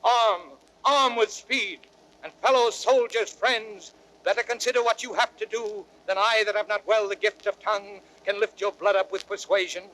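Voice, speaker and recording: A proud young rebel warrior in a Shakespearean audio drama. His voice is notably high for a man. He declaims with intensity, brave and impatient. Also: steady tone, normal speed, sharp tone, slight background noise, rough recording. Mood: impassioned. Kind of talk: classical Shakespearean radio drama acting.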